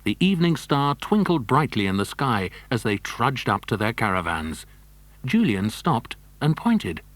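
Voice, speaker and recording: A man's voice: medium pitch, sing-song, a little slow, fairly bright tone, dry recording. A man reading scripted narration for a children's adventure story. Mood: narrating.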